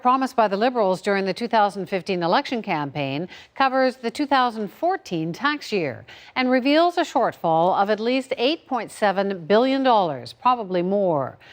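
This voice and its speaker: A woman anchoring a national newscast, reading the script in a crisp, measured way. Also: mid-range voice. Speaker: news anchor.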